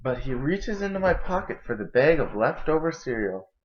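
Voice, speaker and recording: A young man reads a children's picture book aloud, narrating at a medium pitch. The recording is dull and lo-fi, picked up indoors by a camera a short way off. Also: very animated, moderate pace. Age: young adult. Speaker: storybook reader. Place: indoor kitchen and living room.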